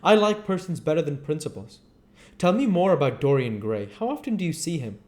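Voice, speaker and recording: A man voicing a witty, vain English gentleman from a novel, with an exclamatory, teasing and pompous manner. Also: on the high side, big pitch swings, a little fast, pitched above the performer's own voice. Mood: teasing, opinionated.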